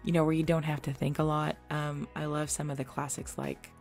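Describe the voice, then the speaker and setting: Woman, low register with noticeable vocal fry, informal solo Q&A chat over background music. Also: level tone, fairly quick, a little mellow. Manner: informal, chatty, self-deprecating. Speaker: audio creator answering listener questions. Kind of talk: casual Q&A chat.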